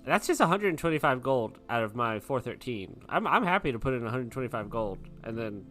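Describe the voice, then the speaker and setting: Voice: mid-range voice, very animated, brisk, fairly bright tone, faint music behind. A player chatting casually and bantering during a tabletop roleplaying game.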